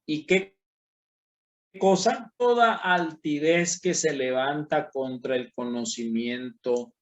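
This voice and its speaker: A man who is a pastor, teaching a Bible class in sermon style, slow and emphatic, rising on key words and speaking straight to his listeners. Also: on the high side.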